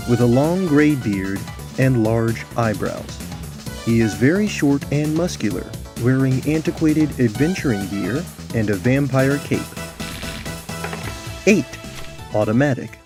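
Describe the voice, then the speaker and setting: A man narrating a scripted tabletop fantasy adventure in a deliberate, theatrical way. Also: mid-range voice, expressive, music in the background. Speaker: narrator and game host.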